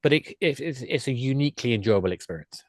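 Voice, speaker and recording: A man's voice: medium pitch, animated, fast, darkish tone, no echo, clean studio sound. A man speaking as an enthusiastic podcast guest, explaining games in a conversational way.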